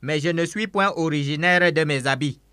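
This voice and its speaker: Male preacher, emphatic and repetitive, bright clear tone, dry recording. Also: on the high side, moderate pace, no room sound. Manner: emphatic, repeats each phrase several times. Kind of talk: sermon with interpreter.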